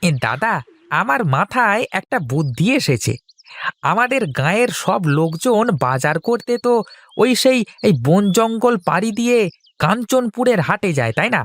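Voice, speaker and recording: A man's voice: high-pitched, animated, moderate pace. An actor playing a chatty, scheming younger village man in a cartoon folktale. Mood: eager, persuading. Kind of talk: Bengali cartoon folktale dialogue.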